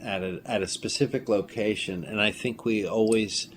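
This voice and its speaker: Low-voiced man telling animated anecdotes. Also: moderate pace. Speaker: filmmaker and writer, interview guest. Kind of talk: casual conversational anecdotes. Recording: clearly noisy room ambience.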